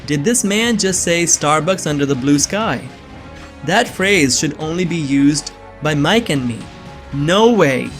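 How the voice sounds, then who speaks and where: A man plays an earnest young man with a slightly high voice. He tells his suspense story in the first person, uneasy yet measured, as scripted visual novel narration and dialogue. Soft music sits underneath. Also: expressive. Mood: shocked, anxious.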